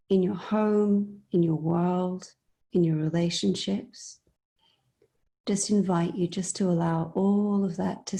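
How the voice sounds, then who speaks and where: A woman leading a guided meditation in a soft, sometimes breathy voice, soothing and gentle, leaving long pauses between phrases. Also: mid-range voice.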